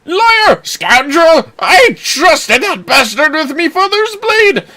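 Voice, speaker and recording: A performer voicing a wronged, heartbroken bald man from a game in a theatrical, shouted rant. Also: very high-pitched, big pitch swings, takes it slowly, pitched above the performer's own voice, exaggerated voice. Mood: furious, anguished. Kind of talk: video game dialogue read aloud.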